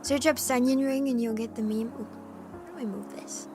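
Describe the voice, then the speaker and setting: A woman streamer chatting casually with her live chat, with a hoarse voice and a playful cat persona. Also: on the high side, a little fast. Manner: chatty and playful, often clearing her throat. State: hoarse from allergies. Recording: music playing in the background.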